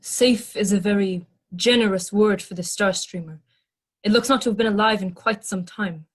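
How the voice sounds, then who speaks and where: A woman's voice: mid-range voice, moderate pace, lightly acted. A woman playing an earnest, literal-minded non-human crew member in a sci-fi audio drama, speaking formally and precisely.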